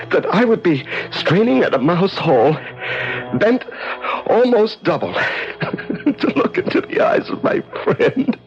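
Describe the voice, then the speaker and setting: An actor playing a desperate, unraveling prisoner alone in the dark, haltingly repeating himself in a radio drama monologue. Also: male voice, sits high, lo-fi sound, full character voice. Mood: hysterical, overcome. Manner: halting, repetitive, talking to himself. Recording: vintage radio drama with music behind.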